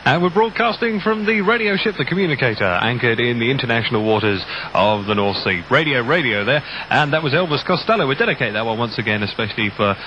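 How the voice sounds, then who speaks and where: A man's voice: medium pitch, lively intonation, fast talker, hardly any pauses, pushed and bright, lo-fi sound, slight background noise. A man hosting a music radio show, chatting casually in a cheerful, jokey, talkative way. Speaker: radio host. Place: studio aboard a radio ship at sea. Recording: offshore radio aircheck, music behind.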